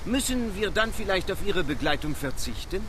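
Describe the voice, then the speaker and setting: A man acting an excitable, loyal valet who is chatty and quick to take offence, in a German radio play. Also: sits high, background noise. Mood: teasing, chatty. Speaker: actor in radio drama.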